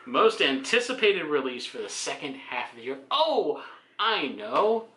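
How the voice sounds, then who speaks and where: A man in his forties talking casually about books as a co-host, in a halting, wry way. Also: fairly high pitch, sing-song, pushed and bright. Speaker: book vlogger, co-host. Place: small room at home. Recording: roomy home video sound.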